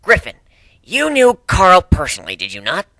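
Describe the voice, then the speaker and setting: A man's voice: high-pitched, huge pitch swings, moderate pace, sharp tone, no room sound, voice pushed up, exaggerated voice. A man voicing a stern female griffin who questions formally and emphatically like a courtroom advocate in a dramatic story reading. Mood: accusing, emphatic.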